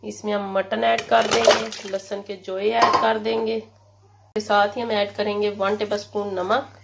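A woman narrating a cooking recipe step by step, in a steady, instructive way, in a home kitchen. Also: medium pitch, unhurried, gravelly, very noisy. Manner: steady, instructive, repeats "ye dekhiye". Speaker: cooking channel host. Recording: loud kitchen sizzle behind.

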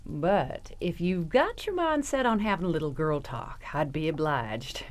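Southern lady of a decaying manor, deep-voiced, animated, sugary yet sinister, radio drama. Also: a little slow. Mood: hospitable. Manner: sugary hospitality, folksy. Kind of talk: audio drama acting.

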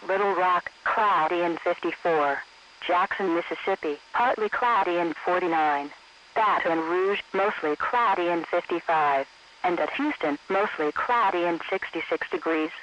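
A man's voice: sits high, normal speed, bright, forceful tone. A man's synthetic-sounding voice reading scripted weather observations in stilted, clipped phrases. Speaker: weather radio conditions announcer. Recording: muffled, hissy weather radio broadcast.

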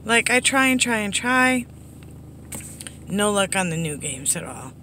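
A middle-aged woman with a hoarse, under-the-weather voice, giving a casual, rambling update to viewers in a low-key, apologetic way. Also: big pitch swings, a little slow, bright, forceful tone. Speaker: slots vlogger. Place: inside a parked car. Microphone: phone at arm's length. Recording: background noise inside a vehicle.

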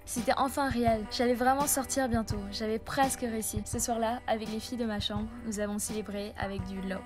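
A woman's voice: a little high, fairly even intonation, fairly quick. Young woman narrating over music. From Vietnam. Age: young adult. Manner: earnest, reflective, evenly paced reading. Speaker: vlogger. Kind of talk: scripted personal narration. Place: voice-over, not recorded in the pictured places. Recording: voice-over with clear background music. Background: music bed under the voice.

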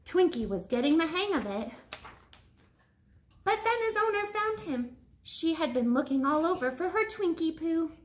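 A woman with a high voice reads a children's story aloud. Her intonation swings widely, and she phrases each line deliberately, leaning on key words for young listeners. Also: expressive. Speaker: teacher reading a picture book aloud to pupils. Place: living room at home, indoors.

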